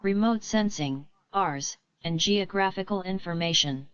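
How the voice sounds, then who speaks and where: A computer-generated woman reads scholarly prose aloud in a somewhat low, clean voice. The sound is very dry, with a muffled, low-fidelity finish. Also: normal speed, clear, resonant tone, no echo. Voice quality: synthetic. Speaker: text-to-speech reader. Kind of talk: synthetic read-aloud of academic text.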